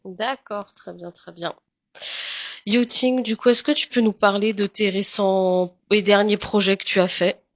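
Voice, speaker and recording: A woman hosting an interview, passing the word to each guest with short prompts and quick acknowledgements. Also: mid-range voice, steady tone, dry recording. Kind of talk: casual interview chat.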